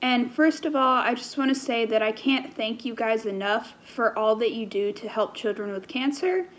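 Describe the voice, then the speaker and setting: A woman's voice: a little high, moderate pace. A woman sending in a video question, earnest and grateful.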